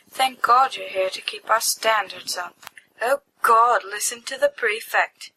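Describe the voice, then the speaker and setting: A woman with a fairly high, very bright voice performs a piece of fiction. She acts out the characters' banter in a playful, expressive way. It is an amateur home recording with faint noise behind her. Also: rough recording. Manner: expressive, playful, acting out giddy young men. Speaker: amateur podfic reader. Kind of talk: animated dramatized fiction reading with character voices.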